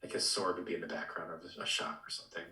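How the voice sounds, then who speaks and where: A man's voice: low voice, steady tone, very fast, bright, forceful tone. A man co-hosting a podcast, chatting in a casual, laid-back way. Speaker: podcast co-host. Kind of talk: casual chat. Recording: echoey, distant from the mic.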